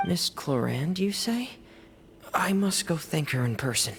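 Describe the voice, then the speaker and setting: A woman voicing a weak, shy, gentle teenage boy in a video game, with a breathy, subdued voice. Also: on the low side, sing-song, a little slow, over loud music, full character voice. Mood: weary, quiet.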